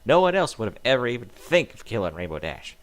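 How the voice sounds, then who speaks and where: A man's voice: medium pitch, sing-song, fast talker, close to an everyday voice. A man voicing an enraged female farm pony who screams accusations. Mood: accusing. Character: furious female farm pony, turned violent.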